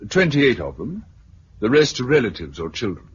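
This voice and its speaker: A man with a British accent playing a brisk, affable organiser of survivors in a 1950s radio drama. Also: medium pitch, very animated, lightly acted. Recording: muffled, hissy 1950s radio recording.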